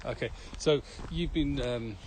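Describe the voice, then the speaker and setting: A man's voice: medium pitch, measured pace. A man hosting a casual podcast conversation, prompting with questions and summing up in a conversational way. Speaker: podcast host asking questions. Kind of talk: casual interview chat. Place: outdoors, walking. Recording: noisy outdoor walking recording. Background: outdoor ambience.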